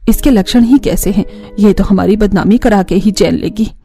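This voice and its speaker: A woman's voice: mid-range voice, normal speed, mellow, soft background music, lightly acted. A woman playing a harsh middle-aged mother in a family cartoon, stern and reproachful. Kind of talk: Hindi cartoon family drama acting.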